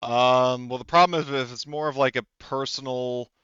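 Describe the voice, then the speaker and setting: Guy game mastering, smooth lowish voice, kinda rambly, dry but dull mic. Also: fairly even intonation, moderate pace, fairly bright tone, no echo. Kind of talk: casual tabletop game narration.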